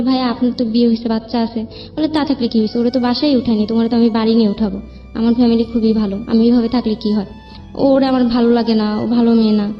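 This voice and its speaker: High-pitched young Bangladeshi woman, muffled audio. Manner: colloquial, rambling narrative. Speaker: guest telling her life story. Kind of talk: personal storytelling in an interview. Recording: muffled lo-fi audio, music behind. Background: background music.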